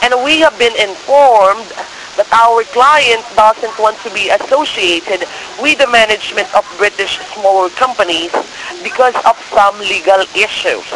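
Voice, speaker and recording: High-pitched salesy man on a noisy phone. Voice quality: non-native English phrasing. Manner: salesy, persistent, often checking the listener follows. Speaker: cold-call share scammer posing as broker. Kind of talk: telephone sales pitch, partly scripted. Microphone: telephone. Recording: noisy phone call with crackly hiss.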